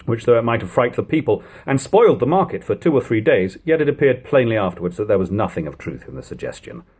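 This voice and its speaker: A man reading an old literary text aloud as an audiobook narrator, with expressive, emphatic phrasing and deliberate pauses. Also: medium pitch, husky. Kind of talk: dramatic audiobook reading of 18th-century prose.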